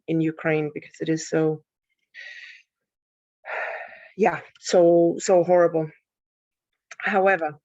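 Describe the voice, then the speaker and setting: A woman from Denmark, speaking as a panelist in an online panel, thoughtful and halting with many restarts. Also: on the low side, gravelly, darkish tone, no room sound, dull audio. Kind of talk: panel talk on a live stream. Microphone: video call.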